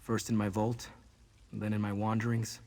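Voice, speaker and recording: An actor playing a troubled, earnest male wanderer in a video game, hesitant and solemn, with a husky voice. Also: lowish voice, flat delivery, normal speed, a little mellow, lightly acted. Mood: wistful, uncertain. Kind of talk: video game character dialogue.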